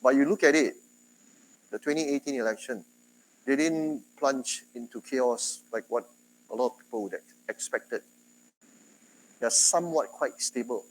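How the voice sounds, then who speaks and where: Singaporean male lecturer, mid pitch, conversational classroom delivery, faint room noise. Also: normal speed, pauses often, poor audio. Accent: Singaporean English. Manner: chatty, animated, quotes others in dialogue. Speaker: university lecturer.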